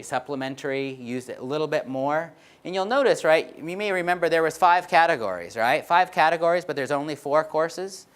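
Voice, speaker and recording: A man giving a conference talk on research findings, explaining charts with frequent fillers and "right?" checks. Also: mid-range voice. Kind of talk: conference presentation.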